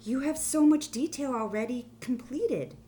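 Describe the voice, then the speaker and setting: A woman's voice: sits high, normal speed, mellow, pitched above the performer's own voice, natural voice. Woman reading as an emphatic, encouraging art teacher. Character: encouraging female art teacher narrating her own story. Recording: faint background hum and noise.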